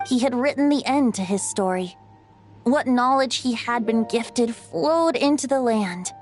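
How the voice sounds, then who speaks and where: Light, high-voiced boyish bard. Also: female voice, lively intonation, a little slow, darkish tone. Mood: gentle, solemn. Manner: lilting, theatrical storytelling. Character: playful, poetic young male bard telling old legends. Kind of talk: dramatic video game narration. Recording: music under the voice.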